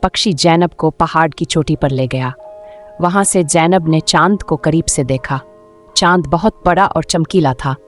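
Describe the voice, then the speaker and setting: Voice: medium pitch, measured pace. A narrator reading a scripted children's story in a storytelling style. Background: music.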